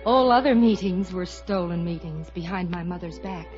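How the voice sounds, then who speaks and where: An actress playing a romantic young showboat leading lady in a 1950s radio musical, measured and wistful. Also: fairly low pitch, darkish tone, close to an everyday voice. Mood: wistful, dreamy. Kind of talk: 1950s radio musical drama narration and acting. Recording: muffled old radio broadcast with music.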